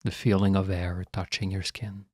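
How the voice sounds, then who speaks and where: A man leading a guided meditation as a guide, speaking in a calm, measured, instructional way with long pauses. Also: low-pitched, dry recording, studio quality.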